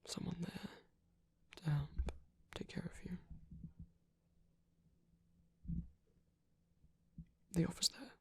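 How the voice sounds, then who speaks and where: A performer voicing a soft-spoken villain in an intimate romantic ASMR roleplay, gentle, protective and hesitant, with a breathy, husky, often whispered delivery. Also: mid-range voice, moderate pace. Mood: tender, reassuring. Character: soft-spoken villain, tender and protective toward abandoned hero.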